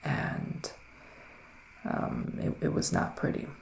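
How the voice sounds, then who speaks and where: A man speaking in a hushed, breathy ASMR style that often slips into a whisper, soothing and reassuring as he plays a psychic witch giving a reading. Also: slow pace. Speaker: ASMR performer playing a psychic reader. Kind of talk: ASMR roleplay, improvised tarot reading. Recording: roomy echo, faint background noise.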